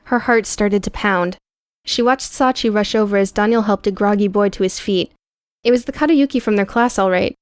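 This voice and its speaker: A woman reading scripted audiobook prose in a measured, dramatic storytelling manner. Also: medium pitch, no echo, studio quality. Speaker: audiobook narrator.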